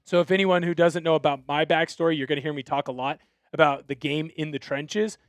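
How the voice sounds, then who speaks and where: A man's voice: a little high, steady tone, fairly quick, dry recording, studio quality, soft background music. A young man from Washington State hosting a live football talk stream, opinionated and fired up as he reads out viewer texts. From Washington State, USA. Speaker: sports show host.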